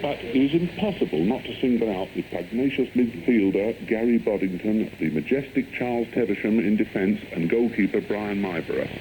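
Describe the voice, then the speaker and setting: Low-voiced male sports reporter, noisy shortwave. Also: animated, brisk, few pauses. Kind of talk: scripted radio sports report. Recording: noisy shortwave radio broadcast.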